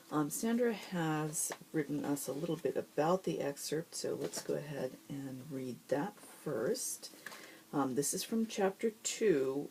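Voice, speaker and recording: A host reading fiction aloud and introducing it informally, with expressive storyteller delivery. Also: medium pitch, a little hiss or hum, poor audio.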